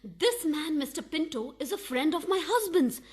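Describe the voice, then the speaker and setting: Unfaithful wife played by an actress, radio farce: high voice, anxious, pleading, dramatic. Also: female voice, lively intonation, moderate pace, darkish tone. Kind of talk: farcical radio play acting.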